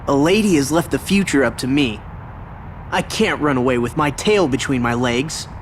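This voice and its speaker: A man's voice: medium pitch, normal speed, a little hiss or hum, lightly acted. Man voicing a cocky young game hero. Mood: cocky resolve. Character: cocky, brave young hero, casual and undaunted. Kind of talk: scripted video game drama acting.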